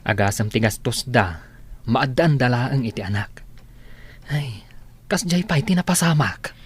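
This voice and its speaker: A man acting a gentle, respectful and devout younger brother, full of concern, in a scripted audio drama with music behind him. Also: mid-range voice, lively intonation, unhurried, breathy edge. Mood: sympathetic.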